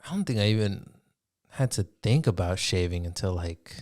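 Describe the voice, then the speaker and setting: A man's voice: deep voice, lively intonation, moderate pace, darkish tone. A man in his early twenties chatting casually as a podcast co-host, with a hesitant, rambling, slangy way of talking. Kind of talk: casual conversational chat.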